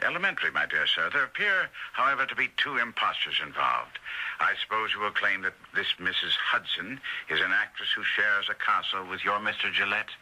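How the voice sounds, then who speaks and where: An actor playing an imperious, pompous detective in a radio mystery drama, speaking with theatrical precision. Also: male voice, on the low side, lively intonation, fairly quick, pushed and bright, husky, heavily acted, low-quality recording. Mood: brisk, intrigued. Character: imperious eccentric detective, brisk, self-assured older man. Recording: radio drama broadcast sound.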